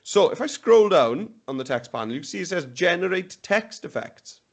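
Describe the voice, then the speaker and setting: Upbeat man demoing software. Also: high voice, sing-song, moderate pace, gravelly. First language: Welsh. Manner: upbeat, chatty, thinks aloud. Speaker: webinar presenter. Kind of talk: live software demonstration. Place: indoor room, on a video call.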